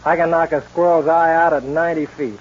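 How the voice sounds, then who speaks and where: A man's voice: a little high, steady tone, fairly quick, close to an everyday voice. An actor playing a polite, self-assured young American son in a 1940s radio western, formal and courteous in his wording. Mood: confident. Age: young adult. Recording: noisy muffled 1940s radio recording.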